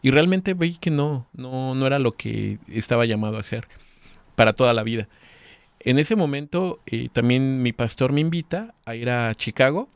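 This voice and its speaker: A man's voice: medium pitch, expressive, normal speed, smooth, no room sound. A man, telling his story as a guest in an earnest, searching way. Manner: earnest, restarts words while thinking. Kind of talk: casual conversation.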